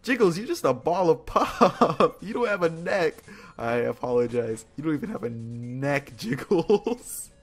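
A man with a fairly high voice gives casual, playful commentary on a video game. He rambles in slangy phrases and often repeats himself. Music plays behind him. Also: lively intonation, unhurried. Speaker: host of a video game playthrough.

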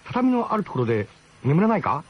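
A man playing an embarrassed camp cook in a radio drama, speaking casually in a halting, roundabout way. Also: on the high side, big pitch swings, husky, voice pushed up. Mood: awkward, hesitant. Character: hesitant, embarrassed male camp cook, awkwardly diplomatic friend. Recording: dull, slightly noisy old radio broadcast.

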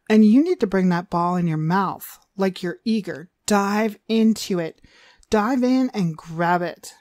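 Woman playing a slow, haughty, cruel female trainer. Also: medium pitch, darkish tone, no room sound, clean studio sound, natural voice. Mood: impatient, commanding. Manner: haughty, slow, scolding delivery with drawn-out emphasis. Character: cruel, contemptuous female dog trainer, demonic domineering mistress. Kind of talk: ASMR roleplay.

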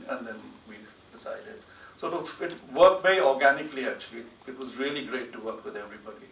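Man on a panel in a roomy hall. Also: medium pitch. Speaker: poet, translator and book editor on a panel. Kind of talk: panel talk and poetry reading. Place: large indoor lecture theatre. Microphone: desk gooseneck microphone. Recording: roomy hall, distant mic, faint noise.

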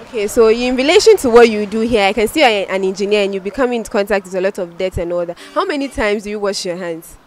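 A woman's voice: medium pitch, animated, quick pace, few pauses, slight background noise. A young woman interviewing people outdoors on a handheld mic, polite and switching between English and Twi. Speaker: street interviewer. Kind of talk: street interview. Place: outdoors at roadside shops.

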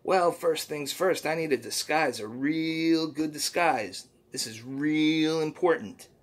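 A man's voice: fairly high pitch, takes it slowly, pitched above the performer's own voice. A man voicing a cheery, folksy little male poop creature from a comic, who keeps saying "ooh-wee.". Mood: chirpy.

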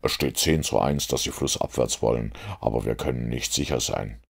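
A shrewd, methodical storybook detective acted out by a man reader speaks in an extremely low voice. It crackles with gravel as he lays out his reasoning deliberately, fully sure of himself. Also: exaggerated voice. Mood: watchful. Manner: deliberate, explanatory.

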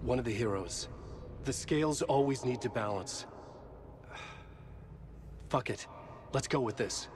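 An actor playing a weary middle-aged male writer, narrating in a low, hushed, dark voice in a video game. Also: unhurried, long pauses, a little hiss or hum, natural voice. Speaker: game protagonist. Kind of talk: dark literary voice-over narration. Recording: game score over the voice.

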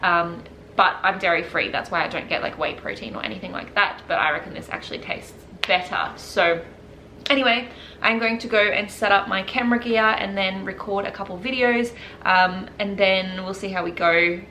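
A woman's voice: medium pitch, moderate pace, pushed and bright. A woman chatting casually to camera as a health vlogger, recorded indoors at home. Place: indoors in a home kitchen and living room. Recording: roomy echo with clear background noise.

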